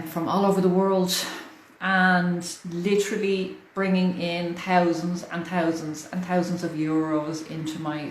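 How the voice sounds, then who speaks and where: A woman in her forties with an Irish accent, hosting a casual livestream, chatty and laughing often. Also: on the low side. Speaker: host. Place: hot room in a house. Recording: echoey room with faint fan noise. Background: fan running.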